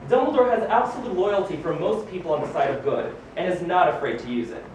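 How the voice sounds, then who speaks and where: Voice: medium pitch, lively intonation, quick pace, a little mellow, natural voice. A performer playing a wizard headmaster who reads his own biography aloud to a live gathering, with theatrical delivery and wry, amused asides. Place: live book launch party in a room. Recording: echoey noisy room, distant microphone.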